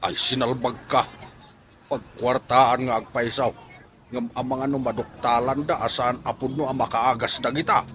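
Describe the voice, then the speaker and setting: A man's voice: mid-range voice, fairly even intonation, moderate pace, fairly bright tone, a little hiss or hum, close to an everyday voice. A man playing a scheming, exclamatory buddy in an Ilocano comedy drama, with an Ilocano accent. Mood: puzzled, doubtful. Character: scheming comic buddy hawking leaves as cures.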